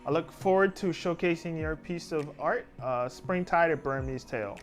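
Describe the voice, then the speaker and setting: A man's voice: a little high, normal speed, faint music behind. A man hosting a surf film festival guide. He reads film synopses aloud with frequent "uh" fillers and thanks each filmmaker. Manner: reads blurbs with frequent "uh" fillers, apologizes for pronouncing names. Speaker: festival host presenting film submissions.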